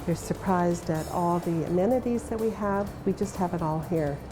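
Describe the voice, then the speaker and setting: A woman speaking for her city in a promotional interview, listing its features in a proud, measured way. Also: fairly low pitch, rough recording. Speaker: local city representative interviewee. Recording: music bed behind the voice.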